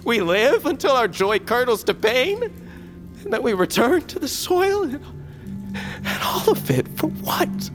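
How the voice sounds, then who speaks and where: A man's voice: very high voice, sing-song, slow pace, music in the background, full character voice. An actor playing a grieving, defiant young warrior in an audio drama, his emotional voice rising in outbursts. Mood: anguished. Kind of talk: audio fiction drama acting.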